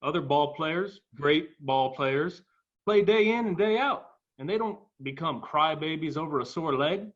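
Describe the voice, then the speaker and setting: High-pitched man playing a stern club owner. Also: lively intonation, a little slow, a bit bright, highs cut off. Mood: stern, irritated. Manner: reproachful, emphatic. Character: stern, scolding male baseball club owner. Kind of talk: dramatic table read.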